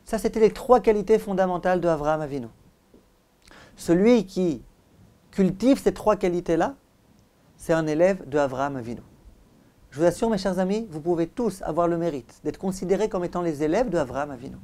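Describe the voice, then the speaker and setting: A man's voice: high-pitched, animated, fairly quick, mellow. A man speaking as a rabbi giving a religious lesson, telling it dramatically and emphatically, with rhetorical questions. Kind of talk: religious lecture to listeners.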